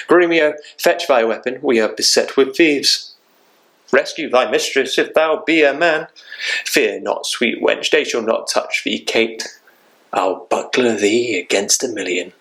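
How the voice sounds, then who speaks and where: A man's voice: medium pitch, a bit bright, poor audio. A man playing a domineering, swaggering bridegroom in a Shakespeare monologue, declaiming with emphatic command. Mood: defiant, protective.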